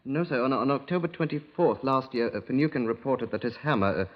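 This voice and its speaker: An actor playing a young, deferential police sergeant who speaks hesitantly and respectfully in a 1950s radio drama. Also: male voice, medium pitch, lightly acted. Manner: respectful, halting. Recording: dull, lo-fi 1950s radio recording.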